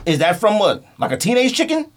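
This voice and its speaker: A man's voice: high-pitched, huge pitch swings, brisk. A man chatting casually on a podcast, bantering with stubborn, insistent questions and laughter, with some noise behind him.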